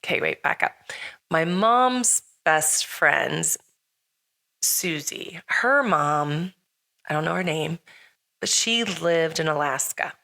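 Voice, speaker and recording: A middle-aged woman with a slightly hoarse, raspy voice hosts a casual podcast chat, rambling with lots of fillers and frequent laughs. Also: deep voice, slow, pushed and bright. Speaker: podcast host.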